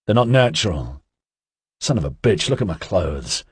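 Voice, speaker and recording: A man voicing a brash, laid-back young man who talks in loud, casual slang. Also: low voice, big pitch swings, moderate pace, slightly rough, no room sound, studio quality, heavily acted. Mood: grumpy.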